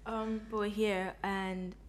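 A young woman from Ghana co-hosts a podcast in a relaxed, chatty way, laughing often. Her voice sits at an ordinary pitch, with a faint hiss behind it. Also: slow. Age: young adult. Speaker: co-host. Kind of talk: casual chat.

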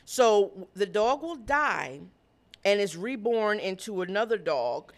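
A woman co-hosting a podcast who tells stories in casual chat, blunt and animated, and swears freely. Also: medium pitch, slow pace. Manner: blunt, profane and animated storytelling. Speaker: podcast co-host.

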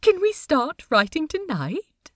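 A woman voicing a gentle, fussy, delighted man in love, with prim phrasing, in a fan fiction audio drama. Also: high-pitched, wildly swooping pitch, slow pace, no room sound, clean studio sound, exaggerated voice. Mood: eager, tender. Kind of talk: fan fiction podfic character acting.

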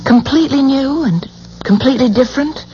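An actress playing a determined, loyal wife in a 1970s radio drama, speaking in a firm, brisk, probing manner. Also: female voice, high-pitched, mellow, natural voice. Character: determined, loyal wife defending her husband. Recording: muffled, noisy 1970s radio broadcast.

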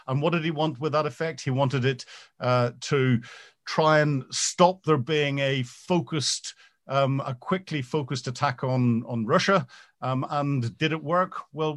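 A man, a military veteran, answering interview questions in a halting way, with many ums and repeated starts, growing animated as he goes. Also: mid-range voice.

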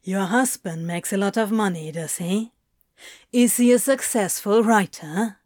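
A woman plays a sardonic man of letters in an audio story. He drawls slowly and dryly, needling his rival with mockery, in a close, dry recording. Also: medium pitch, darkish tone, no room sound, natural voice.

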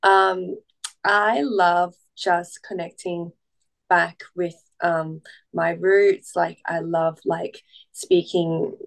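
A young woman whose first language is Cantonese, chatting gently as a podcast guest and pausing often with "um". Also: fairly low pitch, steady tone, vocal fry, low-quality recording. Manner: gentle, unhurried, many "um" pauses. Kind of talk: casual podcast conversation.

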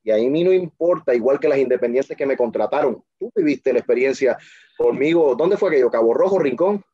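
Hombre seguro de sí, voz oscura y ronca. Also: male voice, mid-range voice, lively intonation, a little fast, warm tone, husky, dry recording. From Dominican Republic. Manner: emphatic, self-assured, full of restarts. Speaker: interview guest. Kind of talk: casual interview storytelling. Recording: muffled dry lo-fi sound.

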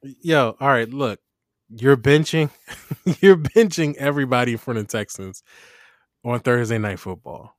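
A man's voice: medium pitch, expressive, a little slow, no room sound, clean studio sound. A young man hosting a casual sports podcast, talking in a laid-back slangy way and laughing often. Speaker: podcast host. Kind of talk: casual fantasy football chat.

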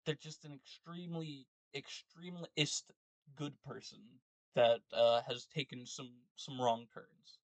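A man co-hosting a casual gaming podcast, thinking aloud in a halting way. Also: medium pitch, no room sound, low-quality recording. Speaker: podcast co-host. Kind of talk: casual tabletop gaming chat. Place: online voice call, dry sound.

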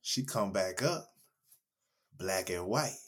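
A man with a raspy, worn voice co-hosts a casual podcast. His pitch swings widely as he tells a story, and he keeps breaking into laughs and acted-out reactions. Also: slow. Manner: animated storytelling, laughing, mimicking with big pitch jumps. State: hoarse after a concert. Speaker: podcast co-host. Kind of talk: casual chat.

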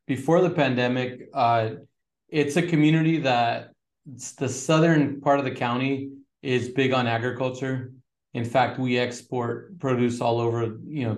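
A middle-aged man from Northern California, a real estate agent chatting casually as an interview guest with relaxed fillers. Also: medium pitch, echoey room. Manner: relaxed, fillers, flat steady delivery.

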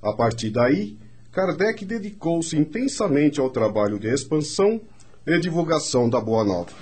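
A man's voice: a little high, sing-song, normal speed, a little mellow. A man reading scripted historical narration for a radio drama.

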